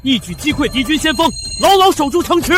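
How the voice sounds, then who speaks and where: An actor playing a formal military official making proclamations in a Chinese costume drama. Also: male voice, very high voice, lively intonation, fast talker. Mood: proclaiming. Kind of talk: costume short-drama acting. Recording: loud background music over dialogue.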